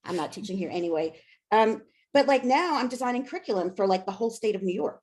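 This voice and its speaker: A woman's voice: mid-range voice, lively intonation, fast talker, darkish tone. An American woman, a scholar, answering interview questions casually, thinking aloud and interrupting herself. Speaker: scholar and author.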